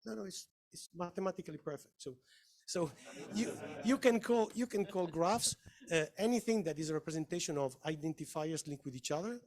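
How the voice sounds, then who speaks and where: Man explaining at a conference, higher voice. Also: a little mellow. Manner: emphatic, explaining, checks in with "okay?". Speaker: panel presenter. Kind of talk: conference Q&A answer.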